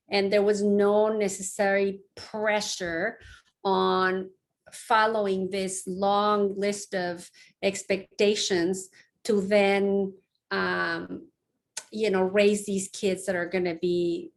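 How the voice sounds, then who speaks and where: A woman's voice: mid-range voice, gravelly. A middle-aged woman from Mexico, a psychologist giving an online talk to parents, speaking casually with fillers and restarts. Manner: conversational, many fillers and restarts. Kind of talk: lecture-style online talk. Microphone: online talk, close mic.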